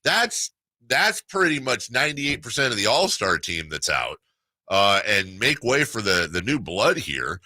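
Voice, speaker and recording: A man with a deep, hard-edged bright voice recorded close and dry. He hosts sports talk casually, with wry jokes and halting restarts. The delivery is conversational and loose. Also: very animated, no echo. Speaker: sports radio host.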